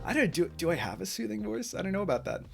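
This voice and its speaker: A man's voice: high-pitched, sing-song, fast, gravelly, soft background music. Man talking through a chess game review. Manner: rambling, with many fillers and restarts. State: strained throat after long talking. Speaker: streamer reviewing chess games. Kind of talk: casual game analysis.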